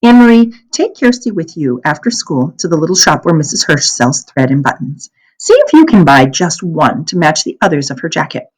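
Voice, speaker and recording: A kind, practical wartime mother from a storybook, read by a woman. She speaks in a gentle, motherly way, with animated intonation that swings up and down. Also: low-pitched, a little fast, slightly rough, full character voice, poor audio. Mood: brisk, practical.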